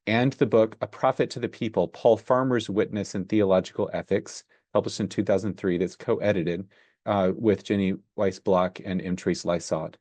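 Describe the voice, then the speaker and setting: Male moderator, lowish voice, dry close sound, reads a prepared introduction. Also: fairly even intonation, darkish tone, no room sound. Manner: measured, reads a prepared bio. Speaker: seminar moderator introducing the guest. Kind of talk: reading a formal introduction.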